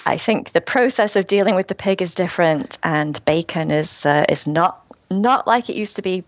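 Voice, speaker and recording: A woman with a British accent answers interview questions warmly, often breaking into a laugh. Her voice sits a little low, and the recording sounds close and dry. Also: normal speed, no echo. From Britain. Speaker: guest author. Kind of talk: casual interview.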